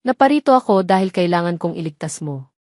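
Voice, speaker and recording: A woman's voice: fairly low pitch, expressive, brisk, a little mellow, dry recording, clean studio sound, close to an everyday voice. Woman voicing an earnest, remorseful man in love. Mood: pleading, heartfelt. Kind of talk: romantic audio drama.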